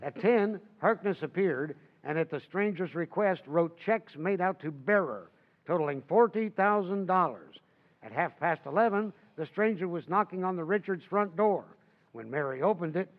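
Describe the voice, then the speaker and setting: A man playing an older male author who narrates his own tale in an old-time radio play, with a measured, wry manner. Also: high-pitched, animated. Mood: steady. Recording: tinny phone-like sound.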